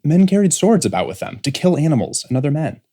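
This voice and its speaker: A man's voice: on the high side, sing-song, fast talker, warm tone, breathy edge, full character voice. A man playing an earnest, restless, rebellious grown son who speaks insistently in a full-cast science fiction audiobook. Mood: wistful. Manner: insistent, intense, pleading.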